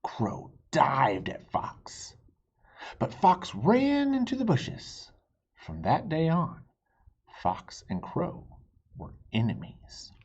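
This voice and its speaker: A man reading a children's picture book aloud in a teacherly way, stopping to ask his young listeners questions, in a husky voice. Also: fairly high pitch, huge pitch swings, drawn-out words, muffled recording. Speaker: read-aloud storyteller.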